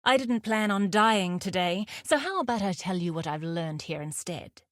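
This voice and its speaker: A woman playing a fierce, guarded huntress in a video game, speaking in a deliberate, terse and solemn way. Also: fairly low pitch, lively intonation, fairly bright tone, dry recording, studio quality. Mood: guarded, persuasive. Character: fierce, guarded huntress outlaw, wry nature lover. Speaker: video game character voice actor. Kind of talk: scripted game character lines.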